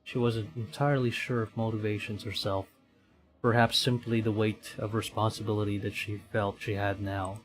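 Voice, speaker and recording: A man's voice: lowish voice, little pitch movement, moderate pace, poor audio. A man streaming a visual novel, switching between casual commentary and reading its text aloud.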